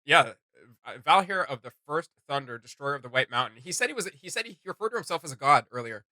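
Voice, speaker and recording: A man's voice: a little high, expressive, quick pace, bright, forceful tone, no room sound. A man co-hosting a reaction show, giving casual, enthusiastic and rambling commentary in an informal way.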